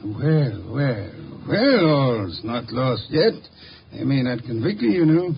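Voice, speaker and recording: An actor playing a pompous, irritable genius detective in an old radio drama, with formal, grumbling speech. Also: male voice, medium pitch, very animated, slow pace, creaky, heavily acted. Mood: measured, probing. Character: pompous, irritable genius detective, large middle-aged man. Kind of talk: 1950s radio drama acting. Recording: dull, noisy 1950 radio recording.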